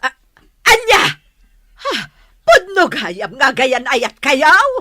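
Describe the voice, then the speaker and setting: A woman's voice: sits high, very animated, slow, pushed and bright, full character voice. A woman playing an angry, stubborn village mother in an Ilocano comedy radio drama, scolding with indignant exclamations. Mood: scornful. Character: angry, stubborn village mother opposing her son's marriage.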